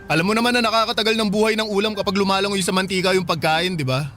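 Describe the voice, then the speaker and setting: Shy lovestruck filipino market guy, kinda high voice, coaxing, radio drama with faint music behind. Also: fairly even intonation, rapid-fire, a bit bright. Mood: sheepish, explaining. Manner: pleading, sheepish. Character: Randy, shy smitten young market porter, coaxing.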